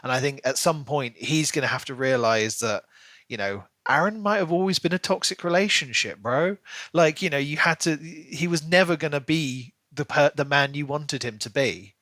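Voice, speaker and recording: A man's voice: on the high side, normal speed, bright, forceful tone, no echo. A man co-hosting a podcast in an informal book chat, speculating freely with playful asides. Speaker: podcast co-host. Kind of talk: casual book discussion chat.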